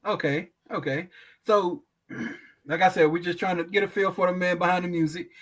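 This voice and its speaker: A man's voice: high-pitched, fairly even intonation, normal speed, fairly bright tone. Earnest man in his thirties interviewing. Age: 30s. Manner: earnest, candid, conversational. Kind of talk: casual interview.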